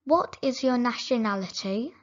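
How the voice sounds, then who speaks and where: A woman reading simple English phrases for learners, saying each sentence slowly and clearly, then repeating it. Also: fairly high pitch, lo-fi sound. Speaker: English teacher.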